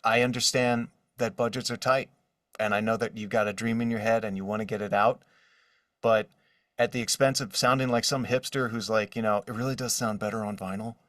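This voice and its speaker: A man's voice: lowish voice, fairly even intonation, fairly quick, fairly bright tone, no echo, studio quality, far from the mic. A man speaking as a voice actor guest in a casual podcast interview, conversational and given to quoted asides.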